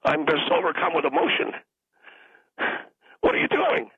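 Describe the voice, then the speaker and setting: A man calling in with a hoarse, strained throat, indignantly scolding the host in a comic rant. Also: fairly high pitch, wildly swooping pitch, normal speed, no echo. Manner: indignant, scolding, mock outrage. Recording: tinny phone line.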